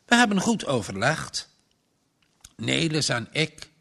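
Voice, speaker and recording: Calm devout farm wife, earnest and steady, slow, read by a man. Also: fairly high pitch, gravelly, husky, full character voice. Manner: patient, earnest, gently firm. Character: calm pious middle-aged farm woman.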